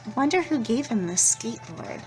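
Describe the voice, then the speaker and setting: A woman teacher reading a children's picture book aloud, expressive and stopping to ask young listeners questions. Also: a little high, brisk, soft background music. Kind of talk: children's storybook read-aloud.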